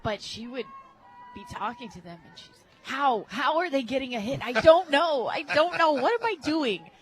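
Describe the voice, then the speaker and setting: An American woman working as a radio color analyst at a softball game, chatty and amused as she mixes analysis with anecdotes. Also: high-pitched, animated, moderate pace, soft background music. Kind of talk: conversational sports analysis and anecdotes. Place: radio booth at a ballpark.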